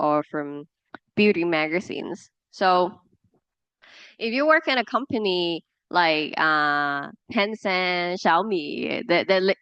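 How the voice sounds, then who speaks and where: Hong Kong woman lecturing, low and smooth. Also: fairly bright tone, dry recording, highs cut off. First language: Cantonese. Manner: explains with examples, frequent fillers and restarts. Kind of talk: classroom presentation.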